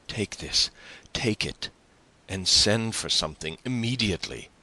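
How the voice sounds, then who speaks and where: A man's voice: on the low side, lively intonation, measured pace, bright, forceful tone, voice pushed up, exaggerated voice, low-quality recording. A man voicing an eager, generous young sailor in an audiobook, speaking earnestly and with animation. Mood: excited, generous.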